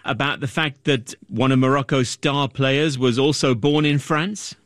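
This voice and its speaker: A man presenting the news and interviewing guests with brisk, crisp questions. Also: medium pitch, fairly even intonation, fairly bright tone, no echo, studio quality. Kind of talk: broadcast interviewing.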